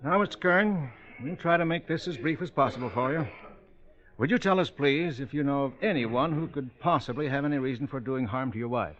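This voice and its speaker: An actor playing a formal, polite coroner questioning a witness in a 1940s radio drama. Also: male voice, medium pitch, fairly quick, fairly bright tone, lightly acted. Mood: polite, official. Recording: dull old radio recording.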